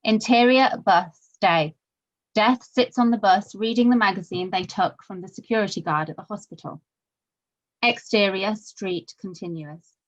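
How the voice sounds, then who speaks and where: A woman's voice: medium pitch, unhurried, lo-fi sound. A woman reading stage directions as scripted narration.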